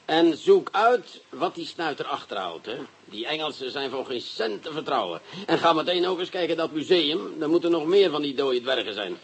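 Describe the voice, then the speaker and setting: A bossy, sly police commissioner. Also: male voice, fairly high pitch, animated, breathy edge. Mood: brisk. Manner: brisk, commanding, sly. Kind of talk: radio drama acting.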